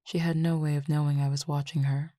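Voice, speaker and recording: A woman voicing a calm cupid roommate who feeds on desire, in an audio roleplay spoken to the listener, formal, measured and quietly teasing. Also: sits low, no echo, clean studio sound, close to an everyday voice. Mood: languid teasing.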